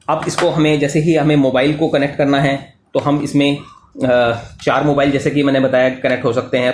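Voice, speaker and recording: An Indian man giving an unscripted step-by-step product demonstration as a tutorial presenter, repeating himself and mixing in English terms in a halting way. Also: medium pitch. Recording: echoey room, faint background noise.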